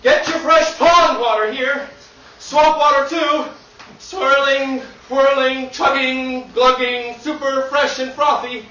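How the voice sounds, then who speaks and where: An exuberant street vendor, very high and forceful, drawing out each cry in musical theatre style. The voice rings through an echoey room from a distance. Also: male voice, steady tone, slow pace, muffled recording, full character voice. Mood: exuberant, hawking. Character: loud, exuberant pond-water street vendor.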